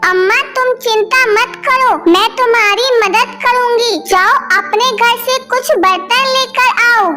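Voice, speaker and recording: A woman voicing a kind, helpful young female bird, speaking earnestly and sweetly in a children's animated story. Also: extremely high pitch, a little slow, hardly any pauses, faint music behind, exaggerated voice. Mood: reassuring.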